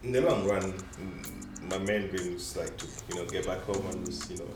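A man's voice: low voice, normal speed. A young man from East Africa chatting casually, easygoing and agreeable. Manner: easygoing, agreeable, frequent backchannels. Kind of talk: casual chat. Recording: distant mic in an echoey room with music.

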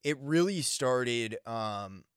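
A man chatting casually and informally, in a halting, hesitant way. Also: medium pitch, expressive, smooth, no room sound, studio quality. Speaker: prank caller and podcast guest. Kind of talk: casual, hesitant phone chat.

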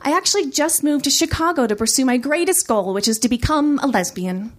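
A woman's voice: a little high, sing-song, normal speed. A woman voicing a brash, cocky young female stand-up comic with a sassy, exclamatory delivery in a story reading. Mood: sweetly sarcastic. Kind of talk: first-person comic fiction reading.

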